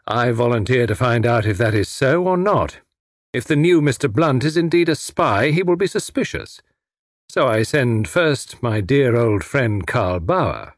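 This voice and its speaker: A man's voice: mid-range voice, no echo, studio quality. A man voicing a suave, menacing, well-educated foreign spy who is formal and mockingly polite in a story. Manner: mockingly polite, formal, snarling when crossed.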